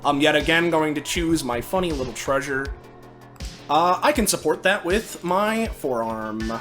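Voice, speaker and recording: A man with a fairly high voice describes his character's moves in a tabletop game. He talks casually and haltingly, piecing it together as he goes, with music underneath. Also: lively intonation, a bit bright. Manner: halting, conversational. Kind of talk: casual improvised narration of character actions. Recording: music playing behind the voice. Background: background music.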